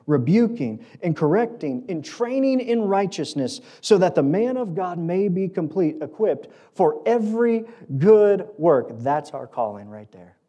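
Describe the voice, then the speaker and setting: A middle-aged American man delivers a sermon. His voice sits a little high for a man and has a soft, mellow tone. He preaches emphatically, driving points home in short, repeated phrases. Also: very animated, unhurried, few pauses. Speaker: pastor preaching. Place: auditorium stage at a lectern, indoors. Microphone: lectern microphone.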